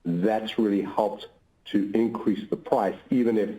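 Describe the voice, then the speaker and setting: A man with a lowish, very soft-edged and mellow voice, explaining results as a finance chief on an investor call. He is measured and methodical, itemizing each factor. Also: steady tone, poor audio. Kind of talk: corporate earnings call explanation.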